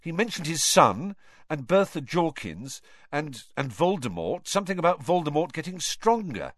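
A man's voice: a little high, very animated, moderate pace, no echo, clean studio sound, pitched above the performer's own voice. A man voicing an urgent, insistent teenage boy wizard, breathless and pleading. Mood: earnest.

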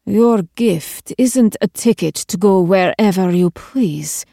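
A woman's voice: medium pitch, takes it slowly, gravelly, mellow, no room sound, studio quality. A woman voicing a stern, imperious queen in an audiobook story, cold, clipped and commanding. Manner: clipped, authoritative, scolding.

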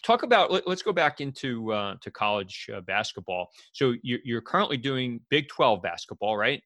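An American man hosting an interview, asking conversational questions in a halting, roundabout way. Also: mid-range voice, sing-song, a bit bright, dry recording, soft background music. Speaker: podcast host, interviewer.

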